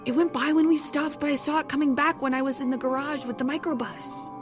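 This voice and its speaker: A woman's voice: sits high, fairly even intonation, fast, lightly acted. A woman playing a practical, impatient young female adventurer in an audio drama, telling the story in a sardonic, matter-of-fact way. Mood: tense, practical. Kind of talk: scripted audio drama narration. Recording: music behind the voice.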